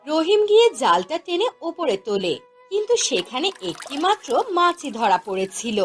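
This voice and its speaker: A woman's voice: sits high, sing-song, moderate pace, soft background music. A woman narrating a scripted fairy tale for a cartoon as the storyteller.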